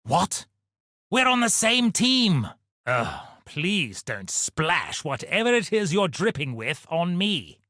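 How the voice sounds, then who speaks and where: An actor playing a pompous, scheming aristocratic villain in a video game, haughty, condescending and melodramatic. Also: male voice, high voice, very animated, slow, bright, forceful tone, dry recording, clean studio sound, full character voice. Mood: exasperated, scolding.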